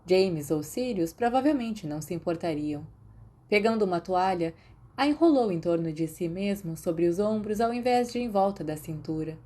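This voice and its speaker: A woman's voice: lowish voice, lively intonation, moderate pace, mellow. A woman with a Brazilian Portuguese accent reading scripted fiction as an audiobook narrator.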